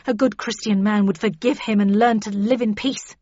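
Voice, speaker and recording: A woman's voice: mid-range voice, steady tone, normal speed, darkish tone, dry recording, muffled recording, lightly acted. A woman voicing an angry, morally outraged Victorian wife, indignant and preachy, in an audiobook.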